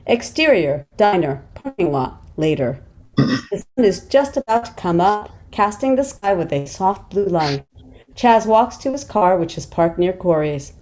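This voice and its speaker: A woman with a soft-toned, mellow and slightly low voice reads screenplay action lines as narrator. There is faint noise on the recording. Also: big pitch swings, unhurried. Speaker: narrator reading stage directions. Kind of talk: screenplay table read narration.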